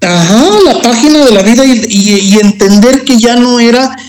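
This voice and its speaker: A man's voice: very high-pitched, normal speed. A man with a Mexican accent giving a personal testimony in conversation, reflective and repeating phrases for emphasis. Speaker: guest telling a personal testimony.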